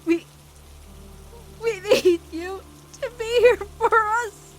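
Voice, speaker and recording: A woman voicing a devoted, anxious teenage witch girl in an animated-style comic dub, earnest and halting in delivery. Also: extremely high pitch, over music. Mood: earnest, pleading.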